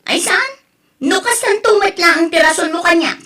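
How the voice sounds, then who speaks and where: A woman playing a sharp, reproachful young wife who scolds her husband in an audio drama. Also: extremely high pitch, normal speed. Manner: nagging, scolding.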